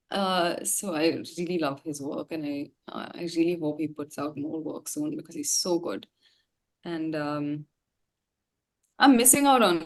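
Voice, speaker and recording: Effusive woman with a lowish voice. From India. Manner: effusive, slangy. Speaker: singer and musician, interview guest. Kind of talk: casual chat.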